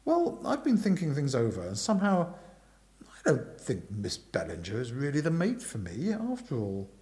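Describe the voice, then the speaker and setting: A man's voice: medium pitch, huge pitch swings, moderate pace, darkish tone. A man voicing a bashful, hesitant young upper-class chum who stammers and talks round the point. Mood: sheepish. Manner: stammering, roundabout, hemming and hawing.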